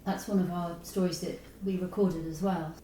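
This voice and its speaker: A woman's voice: fairly low pitch, steady tone, moderate pace, warm tone. A woman interviewing in an informal literary discussion, tentatively searching for the right words. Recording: echoey room, distant mic, faint noise.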